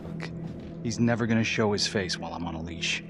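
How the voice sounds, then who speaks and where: An actor playing an intense, manipulative death-row prisoner in a crime game, speaking earnestly and persuasively. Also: male voice, on the low side, brisk, background noise, lightly acted. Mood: urgent, persuasive. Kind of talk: cinematic crime game voice acting.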